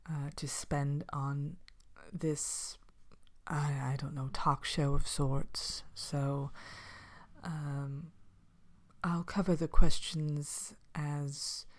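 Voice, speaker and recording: A woman voicing a vain, haughty evil fairy-tale queen in soft, whispered ASMR, with a dry, condescending, hesitant manner. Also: sits low, level tone, drawn-out words, full character voice. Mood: haughty, impatient. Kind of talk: whispered ASMR character talk show.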